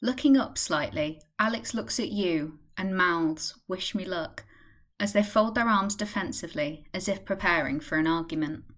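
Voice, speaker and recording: A woman with a fairly low voice narrates a radio play. Her delivery is scripted, describing the action as a storyteller. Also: normal speed, fairly bright tone.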